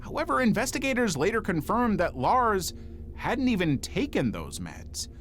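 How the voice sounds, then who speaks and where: A man's voice: sits high, sing-song, normal speed, fairly bright tone, faint music behind. A man reading scripted true-crime narration as a narrator.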